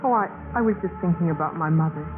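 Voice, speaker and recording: A woman's voice: fairly low pitch, quick pace, lightly acted. Tinny vintage-radio college girl played by a woman. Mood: wistful, hesitant. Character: thoughtful young college woman, anxious about her mother. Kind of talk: 1950s radio drama acting. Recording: tinny 1950s radio recording with music.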